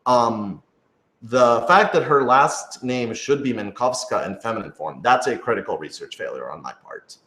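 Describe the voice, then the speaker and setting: A man's voice: medium pitch, animated, moderate pace, a bit bright. A man chatting casually and unscripted as a writer-director answering questions, informal, hedging and self-deprecating. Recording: roomy, echoing room sound.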